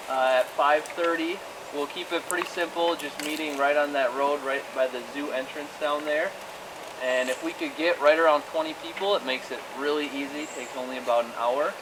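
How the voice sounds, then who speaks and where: A man making a relaxed, plain-spoken announcement at an outdoor club meeting. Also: on the high side, moderate pace. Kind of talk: casual announcement. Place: outdoor park. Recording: noisy outdoor recording.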